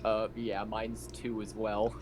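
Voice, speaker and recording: Low-voiced man gaming over a noisy mic. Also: flat delivery, slow pace, darkish tone. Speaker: tabletop game player. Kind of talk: casual, halting in-character game chat.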